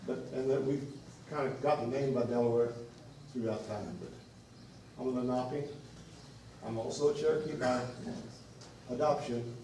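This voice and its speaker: Earnest man in a booming hall. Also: fairly even intonation, mellow, a little hiss or hum. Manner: earnest, deliberate, repeats himself. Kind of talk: public meeting remarks. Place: large meeting room. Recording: echoey meeting hall from far off.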